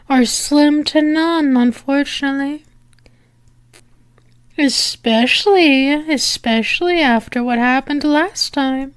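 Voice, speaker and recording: A woman playing a flirtatious female demon in an ASMR roleplay, teasing and sultry, breathy and often slipping into a whisper, close to the microphone. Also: high-pitched, no room sound. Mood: wistful, coy. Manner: sultry, teasing, drawn-out with lingering pauses.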